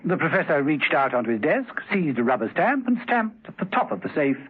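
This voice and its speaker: A man's voice: fairly high pitch, big pitch swings, fairly quick, slightly rough. A man narrating a scripted 1970s radio thriller in a dry, dramatic storytelling manner. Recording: tinny, muffled old radio recording.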